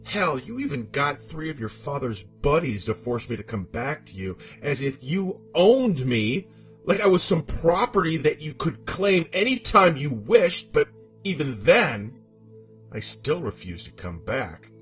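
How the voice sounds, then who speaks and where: Male actor as a scorned demoness ex-wife: proud, venomous, slow and wildly expressive, horror audio story over music. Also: fairly high pitch, breathy edge, voice pushed up, heavily acted. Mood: scornful. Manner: haughty, bitter, theatrical.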